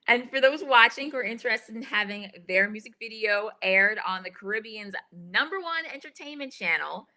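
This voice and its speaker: A woman's voice: a little high, lively intonation, a little slow, pushed and bright. A woman hosting an interview, reading an upbeat promotional intro and then asking questions. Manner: upbeat, promotional and scripted at the open. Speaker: interview host.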